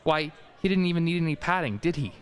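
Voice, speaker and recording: A man's voice: on the high side, expressive, quick pace, natural voice. A man acting an effusive, gushing department store public relations man in a student radio play.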